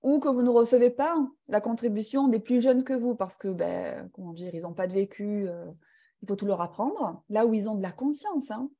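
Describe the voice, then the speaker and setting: A woman's voice: medium pitch, normal speed, warm tone. A woman leading an online personal growth class, speaking reflectively with frequent hesitations. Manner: reflective, hesitant with many fillers. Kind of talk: live online talk to participants.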